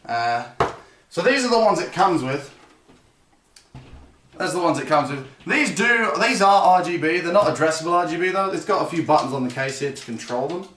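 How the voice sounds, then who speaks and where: A man's voice: a little high, lively intonation, fairly quick, a bit bright. Chatty man live-streaming a PC build. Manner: rambling, self-correcting, chatty with viewers. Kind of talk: casual live-stream chat. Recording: roomy, slightly distant, faint noise.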